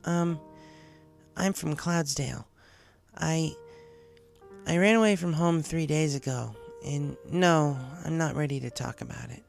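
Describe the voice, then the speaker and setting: Man as a hesitant runaway pegasus girl, pitched up. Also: measured pace, gravelly, faint music behind. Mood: guarded, blunt. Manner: hesitant, blunt. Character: young runaway pegasus mare, awkward, guarded, apologetic.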